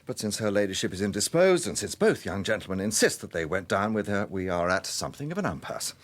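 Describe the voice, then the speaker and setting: An actor with an English accent playing a courteous, methodical gentleman police detective in a British radio drama, polite and measured as he probes. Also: fairly low pitch, very animated. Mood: courteous, probing.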